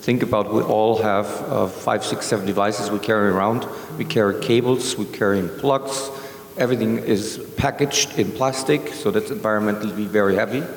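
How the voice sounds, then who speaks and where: A German-accented man speaks with confident, promotional assurance on a panel stage. His voice is low and gravelly. It rings out in a reverberant hall over faint noise. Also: steady tone, moderate pace. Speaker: company advisor, panelist. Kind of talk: panel discussion.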